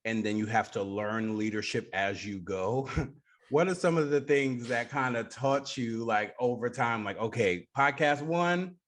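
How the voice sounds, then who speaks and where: A man's voice: mid-range voice, measured pace, a bit bright. A man with a New York accent hosting a casual radio interview.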